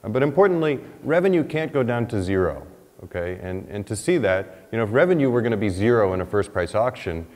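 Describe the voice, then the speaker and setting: A man in his thirties presents research at a podium, his fairly low voice ringing with the long reverb of a big lecture hall. He thinks aloud, restarting phrases and rising in pitch when he stresses a point. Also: very animated, brisk, darkish tone. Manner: thinking aloud, many restarts and "you know"s, rising for emphasis. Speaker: economics researcher presenting a paper. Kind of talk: conference lecture with slides.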